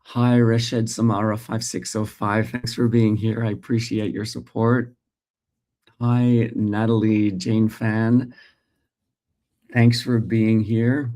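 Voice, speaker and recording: A middle-aged Australian man hosting a casual morning livestream, thinking aloud with pauses and restarts while greeting his viewers. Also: on the low side, little pitch movement, warm tone. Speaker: host.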